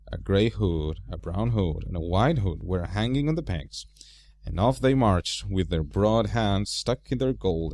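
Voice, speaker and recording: A man narrating a storybook as an amateur reader, with an expressive, theatrical delivery. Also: fairly low pitch.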